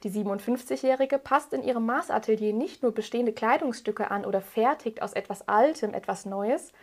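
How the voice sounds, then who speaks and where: A woman's voice: fairly high pitch, a little fast. A woman interviewer giving introductions and asking prepared questions.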